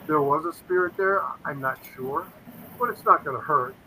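A man's voice: fairly high pitch, normal speed, bright, forceful tone, husky, poor audio. A man in his fifties telling stories in a casual interview, earnest and rambling, often quoting himself. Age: 50s. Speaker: paranormal investigator guest.

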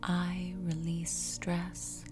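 A woman whispering a soothing, repetitive guided sleep hypnosis in ASMR style, breathy and unvoiced, with long pauses. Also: on the low side, completely flat delivery. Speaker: hypnotist guiding sleep session. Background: music.

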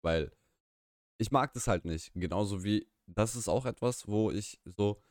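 A man's voice: fairly low pitch, measured pace, no echo, clean studio sound. A young man whose first language is Russian, co-hosting a podcast in a casual, rambling, opinionated way with many restarts. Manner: rambling, self-correcting, opinionated. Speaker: podcast co-host. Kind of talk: casual chat. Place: small room, live stream.

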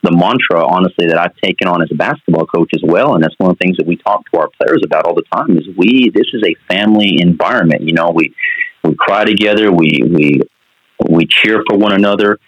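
Earnest male coach, very low voice, dry dull lo-fi sound, casual interview talk. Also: brisk, no echo. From New Mexico. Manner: earnest, many "you know" fillers. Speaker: basketball coach.

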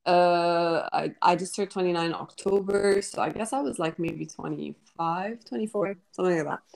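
Egyptian woman in her twenties, low-voiced. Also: moderate pace, creaky, darkish tone. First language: Arabic. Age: 20s. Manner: thoughtful, mixes Arabic words into English, many restarts. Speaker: podcast host and writer. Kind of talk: casual interview talk.

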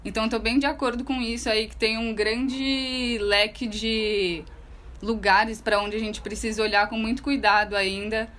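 A woman's voice: on the high side, fairly even intonation, normal speed, fairly bright tone. A woman hosting a casual video-call interview, chatty with loose phrasing. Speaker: host.

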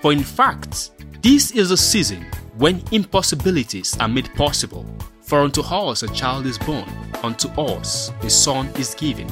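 A man acting as the solemn storyteller of a religious radio drama, measured, grand and declamatory. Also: medium pitch, very animated, lightly acted. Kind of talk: scripted radio drama narration. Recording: music clearly behind the voice.

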